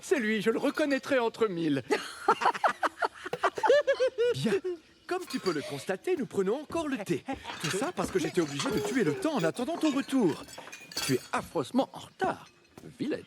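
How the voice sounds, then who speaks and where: An actor playing a whimsical, petulant, mad tea-party host with a theatrical, erratic lilt, amid noisy clinking. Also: male voice, high voice, wildly swooping pitch, normal speed, pauses often. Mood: whimsical, manic.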